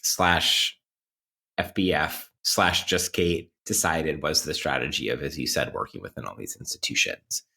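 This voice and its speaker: A man's voice: a bass voice, a little slow, vocal fry, bright, forceful tone. A man hosting a casual, intellectual podcast interview, with academic vocabulary and a playfully mock-formal manner. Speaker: podcast host.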